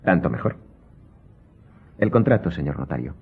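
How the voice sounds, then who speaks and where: A man's voice: rumbling low, sing-song, normal speed, vocal fry, husky, heavily acted. An actor with a Spanish accent playing an imperious, secretive count in a radio drama, curt and formal as he gives commands. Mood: haughty impatience. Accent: Spain. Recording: muffled audio, faint music behind.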